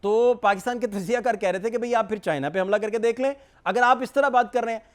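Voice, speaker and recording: A man's voice: high-pitched, fast. A man with a Pakistani accent hosting a political talk show, putting questions politely to his guest. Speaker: television talk show host.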